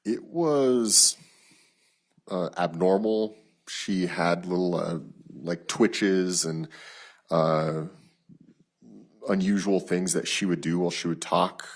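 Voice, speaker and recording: American male police witness, very low and husky, formal and halting on the stand. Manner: halting, formal, matter-of-fact. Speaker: police detective testifying as a witness. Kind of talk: courtroom testimony. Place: courtroom.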